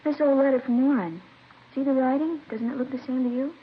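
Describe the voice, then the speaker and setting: A woman playing a casual, cooperative young college graduate in a 1950s radio drama, plainspoken and unhurried. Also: high voice, steady tone, natural voice. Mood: offhand, thoughtful. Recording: muffled, noisy vintage radio recording.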